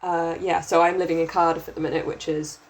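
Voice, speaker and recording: Low, flat-voiced young Welsh woman. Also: fast. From Wales. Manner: relaxed, lots of ums and likes. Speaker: podcast co-host, PhD researcher. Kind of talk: casual chat.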